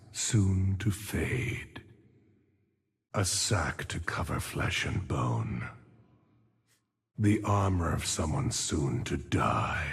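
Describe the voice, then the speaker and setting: An actor voicing a huge, somber undead gravedigger monk in a video game, speaking slowly and solemnly in a gravelly, husky voice. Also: male voice, low voice, exaggerated voice. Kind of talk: video game character voice lines. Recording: long cavernous reverb effect.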